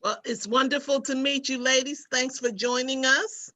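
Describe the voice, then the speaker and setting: A woman's voice: high voice, fairly even intonation, normal speed, bright, forceful tone. A woman, a panelist asking polite, casual interview questions.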